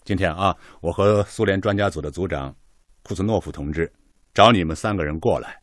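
An actor playing a genial senior chief engineer in a Chinese radio drama, avuncular and encouraging with exclamatory turns. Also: male voice, deep voice, brisk, no room sound, studio quality, close to an everyday voice. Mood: earnest. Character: senior male chief engineer, genial, leading young staff.

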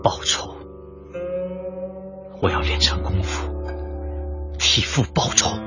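An actor playing an earnest, intense martial arts disciple bent on revenge in a radio drama, with a husky, breathy voice. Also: male voice, on the low side, steady tone, slow pace, highs cut off, over loud music. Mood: grim, determined. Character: earnest male kung fu disciple, obsessed with revenge. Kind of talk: Chinese radio drama acting.

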